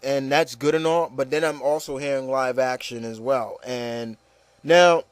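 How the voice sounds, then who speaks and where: A man's voice: mid-range voice, steady tone, measured pace, no echo. A man hosting a casual, opinionated discussion, talking emphatically and repeating himself with plenty of "you know". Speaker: host of an opinion show.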